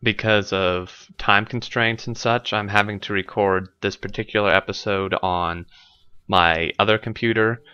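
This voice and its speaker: A man with a congested, stuffy nose talks his way through a building game, thinking aloud and padding with fillers. His voice sits low, yet it comes across bright and smooth on a desk mic. The recording is dry but a little dull. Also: steady tone, unhurried, no echo. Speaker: let's play host. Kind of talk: casual gameplay commentary. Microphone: desk microphone.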